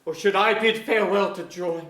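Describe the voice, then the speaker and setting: An actor playing a defiant, lovesick young elf-blooded ruler in a staged reading of an archaic verse drama. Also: male voice, high voice, moderate pace, fairly bright tone. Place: museum auditorium, staged reading. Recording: echoey auditorium stage.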